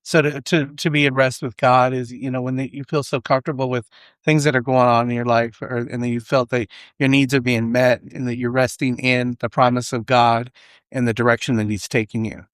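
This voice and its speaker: A man with a slight accent talking earnestly about faith in a casual podcast, halting and restarting as he thinks. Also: mid-range voice, flat delivery, clear, resonant tone, dry recording, clean studio sound. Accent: non-native English.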